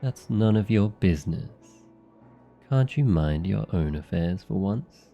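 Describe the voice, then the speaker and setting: A man's voice: fairly low pitch, animated, measured pace, creaky, warm tone, muffled recording, faint music behind. A man playing a cold, surly younger demon brother, curt and protective, in an ASMR fantasy roleplay. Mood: irritated. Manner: curt, serious, hostile.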